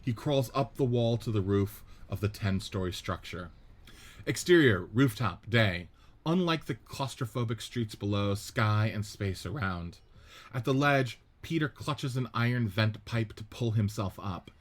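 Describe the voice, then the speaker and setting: A man narrating the stage directions of a radio play script in a steady, descriptive reading. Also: on the low side, unhurried.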